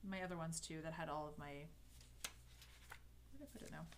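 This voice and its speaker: A woman's voice: sits low, moderate pace, slight background noise. A woman in her thirties with a Canadian accent, chatting casually while teaching a calligraphy livestream, rambling and correcting herself as she goes. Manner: rambling and self-interrupting, with light laughs. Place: home desk studio indoors. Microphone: headset.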